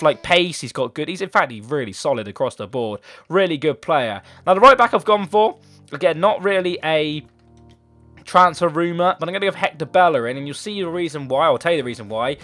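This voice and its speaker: A man's voice: a little high, pushed and bright. A young man with a sore throat, chatting casually about football players for his gaming channel. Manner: chatty, rambling, enthusiastic. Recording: faint background music.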